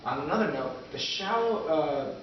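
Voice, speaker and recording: A man giving an amateur, loosely scripted presentation as presenter, in a halting, fumbling and vague manner. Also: a little high, animated. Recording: echoey, muffled, noisy, distant camera mic.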